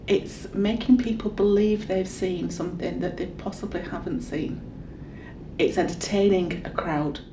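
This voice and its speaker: A woman's voice: fairly low pitch, steady tone, a little slow. A woman, a performer, chatting casually in an interview. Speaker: burlesque performer. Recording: echoey, distant mic, faint noise.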